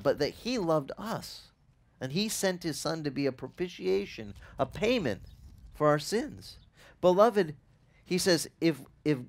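Man in his forties, pastor, Italian first language, slight Italian accent, lively swooping pitch, somewhat high voice, casual outdoor sermon, headset mic. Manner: animated, conversational, asks the listeners questions. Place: outdoors on a sunny beach.

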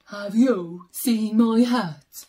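A woman voicing a big, polite, forlorn bear, with earnest, drawn-out questions, in a children's picture book. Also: mid-range voice, warm tone, voice pushed down. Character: big polite forlorn bear searching for his lost hat.

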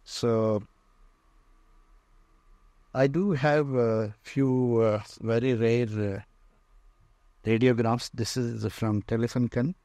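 Indian guy showing round his collection, soft dark tone, really slow with lots of pauses, dry sound. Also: medium pitch, steady tone, dry recording. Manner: halting, pausing often mid-phrase. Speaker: museum owner and radio restorer giving a tour. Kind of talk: informal narrated walk-through tour. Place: indoor museum and workshop room full of shelves.

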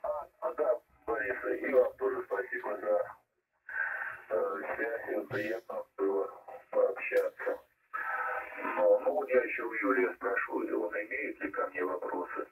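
A man's voice: mid-range voice, lively intonation, unhurried. A man, an amateur radio operator, talking casually over a radio transmission. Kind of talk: casual ham radio contact. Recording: thin phone-like radio signal, humming, distant.

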